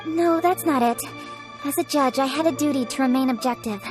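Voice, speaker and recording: A woman's voice: sits high, a little fast, over music, close to an everyday voice. A woman voicing a principled, dutiful young noblewoman in an anime-style game, formal and earnest. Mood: firm, earnest.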